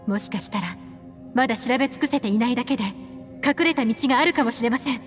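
Rational young woman advisor, acted by a woman, slightly high voice, polite, firm, measured, anime game, long reverb. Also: lightly acted. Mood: calm, reasoning. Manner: polite, measured, reasoning firmly. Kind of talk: anime-style game voice acting. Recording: reverberant, with music behind.

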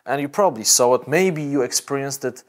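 Young polish-accented guy talking into a camera, fast and earnest. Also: mid-range voice. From Poland. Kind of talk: casual video talk to camera.

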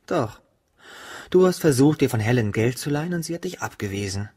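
An actor playing a sullen, defensive young son in a radio drama, evasive and defiant in short replies. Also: male voice, mid-range voice, lively intonation, a little fast, darkish tone, breathy edge. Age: young adult. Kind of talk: German radio drama acting.

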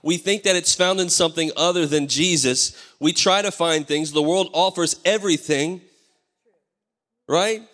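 A man in his thirties preaches from a church stage with a hoarse, tired voice, calling out for amens as he goes. His handheld mic sits in a large hall with a long reverb behind it. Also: fairly high pitch, moderate pace, a bit bright. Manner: preaching with call-and-response, asking the crowd for amens. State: losing his voice. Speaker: pastor. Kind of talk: sermon.

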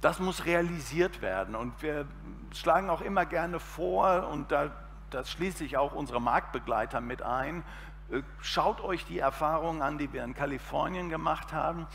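A man's voice: a little high, normal speed, creaky, a bit bright. A man in his fifties giving a talk on a conference panel, gesturing animatedly as he speaks on an outdoor event stage. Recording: reverberant PA sound with faint background noise.

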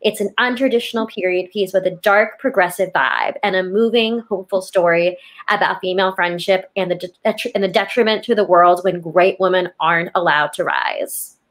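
A woman's voice: fairly low pitch, a little fast, fairly bright tone. Young woman reading a show intro on a call. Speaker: co-host. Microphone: video call with earbuds.

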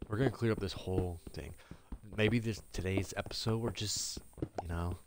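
A man's voice: deep voice, fairly even intonation, moderate pace, noisy background. A man with a stuffy nose giving upbeat, rambling commentary as he plays a game and talks to his viewers. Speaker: gaming streamer. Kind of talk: casual live gameplay commentary. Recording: game music playing loudly behind. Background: game music.

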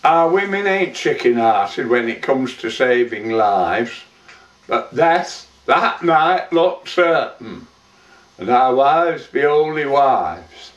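A man's voice: mid-range voice, expressive. A man playing a weathered old lifeboatman, reciting a sorrowful dramatic monologue in folksy, plain-spoken verse. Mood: grave storytelling. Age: older. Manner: measured, folksy storytelling with rustic dialect. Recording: roomy, dull, distant microphone.

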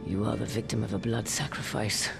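A woman playing an ancient, solemn female seer who speaks slowly and gravely in a game cutscene. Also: very deep voice, steady tone, over music, exaggerated voice. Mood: ominous. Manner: slow, portentous, grave. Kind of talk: video game cutscene acting. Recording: game audio with loud score.

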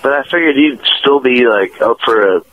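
A man's voice: medium pitch, normal speed, noisy background. A man calling in to a radio show, talking hesitantly with many fillers over a phone line. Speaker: caller. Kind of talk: casual phone call.

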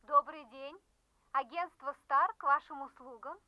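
An actress playing a polite, soft-hearted agency secretary in a radio drama, hesitant, heard through a telephone. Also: female voice, sits high, fairly even intonation, unhurried. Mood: polite, bright. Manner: hesitant, faltering. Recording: dull, band-limited phone sound.

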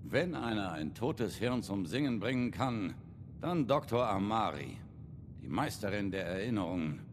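An actor playing a world-weary, shrewd android private detective in a video game, laconic and wry in a noir manner. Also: male voice, fairly low pitch, big pitch swings, moderate pace, big-room sound, slight background noise. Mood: musing, matter-of-fact.

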